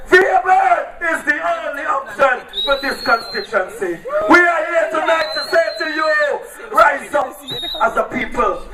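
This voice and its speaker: A man with an Antiguan accent shouting a political campaign speech at an outdoor rally, hammering repeated rallying cries. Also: very high-pitched. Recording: echoey, distant, loud crowd.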